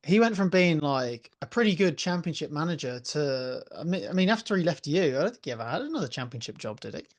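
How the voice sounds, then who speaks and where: A man with an English accent co-hosting a casual football podcast, speaking in a relaxed, conversational way. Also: a little high, fast talker, hardly any pauses. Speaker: podcast co-host.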